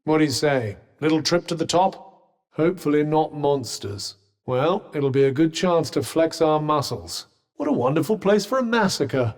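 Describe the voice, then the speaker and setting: A man's voice: a little high, expressive, normal speed, long reverb tail. A man voicing a sardonic, witty male talking bracelet in a video game, with dry, teasing quips.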